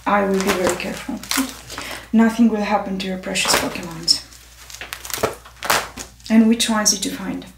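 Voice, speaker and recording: A young woman playing a stern, methodical airport security officer in a soft-spoken ASMR roleplay, deliberate and deadpan. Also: mid-range voice, natural voice. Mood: stern, procedural. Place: small indoor room set. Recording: roomy, distant, noisy with clicks.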